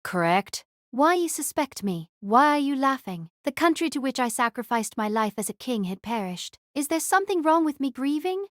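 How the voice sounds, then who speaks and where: A proud young knight queen who rules as king played by a woman in an audio drama. She is formal, earnest and easily indignant. Her voice is fairly high and recorded very dry. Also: big pitch swings, moderate pace, few pauses, fairly bright tone, no echo.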